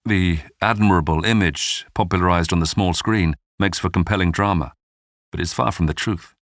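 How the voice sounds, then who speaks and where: Deep-voiced male documentary narrator. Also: normal speed, no echo, clean studio sound. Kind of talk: scripted dramatic true-crime narration.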